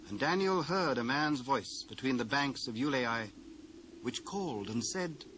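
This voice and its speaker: A man's voice: mid-range voice, lively intonation, a little slow, fairly bright tone, a little hiss or hum, poor audio. A British man narrating scripture in a solemn, formal storytelling manner for a dramatised reading.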